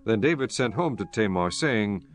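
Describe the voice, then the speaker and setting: Low guy narrating old bible stories, solemn, music playing behind him. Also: animated, normal speed. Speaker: audiobook narrator. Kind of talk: scripted scripture narration, solemn storytelling.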